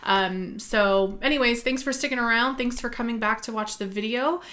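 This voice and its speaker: Woman, hoarse from a cold, rambling makeup tutorial chat, lots of ums. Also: on the high side, normal speed, sharp tone. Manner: chatty, rambling, many ums. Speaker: beauty vlogger.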